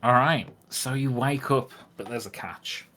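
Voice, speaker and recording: A man's voice: medium pitch, measured pace, pushed and bright, a little hiss or hum. A man acting as game master in a tabletop roleplay game, chatting casually and with amusement.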